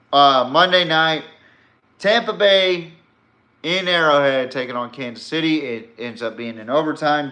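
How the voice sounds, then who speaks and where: Male podcast host, unhurried delivery with a bright, forceful edge; blunt, rambling, opinionated. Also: medium pitch. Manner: rambling, blunt, profane, emphatic. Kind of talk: casual opinionated sports and politics commentary.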